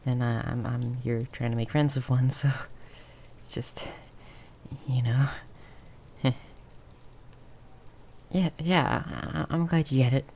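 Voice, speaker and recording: A woman voicing a tiny timid mouse person in an improvised ASMR roleplay, soft and breathy, stammering nervously and often slipping into a whisper. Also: a bass voice, steady tone, full character voice. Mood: shy, nervous. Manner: nervous stammering, halting and repeating words. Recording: faint background noise with rain ambience. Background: rain sounds.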